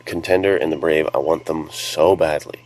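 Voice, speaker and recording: A man's voice: rumbling low, fairly even intonation, normal speed, a little mellow, rough recording. A man co-hosting a podcast, talking in an informal, conversational way. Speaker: podcast co-host. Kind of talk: casual podcast chat.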